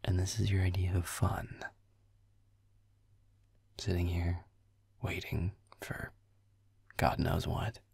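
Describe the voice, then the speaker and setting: A young man playing a grumpy, sardonic werewolf boyfriend in an intimate audio roleplay, muttering deadpan in a breathy, gravelly murmur as he games. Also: sits low, takes it slowly, long pauses, no echo, studio quality, full character voice.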